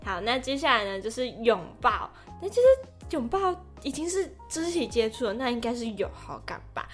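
A woman's voice: on the high side, animated, moderate pace, fairly bright tone, soft background music. A woman with a Taiwanese Mandarin accent, talking casually as co-host of a podcast. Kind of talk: casual chat.

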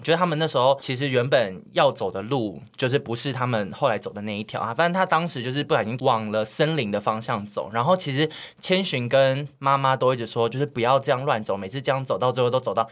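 A man with a Taiwanese accent co-hosting a podcast in casual comedic chat, teasing and animated. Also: medium pitch, fast, few pauses. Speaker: podcast co-host.

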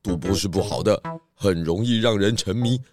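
A man playing a calm, wise pig detective who explains things patiently in a children's audio drama. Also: lowish voice, normal speed, music in the background, close to an everyday voice. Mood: kindly instructing.

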